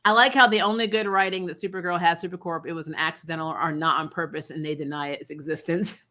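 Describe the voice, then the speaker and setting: A woman's voice: sits low, fairly even intonation, fast talker, sharp tone. A woman hosting a recap show, giving casual, opinionated fan commentary in a blunt, conversational way.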